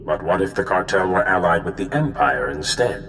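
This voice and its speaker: A man playing a solemn, worried Jedi mentor in a video game, speaking in measured explanations. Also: sits low, fairly bright tone. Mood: grave. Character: grave, worried male Jedi mentor giving orders. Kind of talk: cinematic video game dialogue. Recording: hall reverb, faint background noise.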